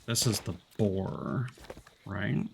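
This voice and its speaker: Gravelly guy streaming a game, chill and jokey, faint noise behind. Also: on the low side, drawn-out words. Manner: relaxed, chatty, laughs often. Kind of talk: casual gameplay commentary.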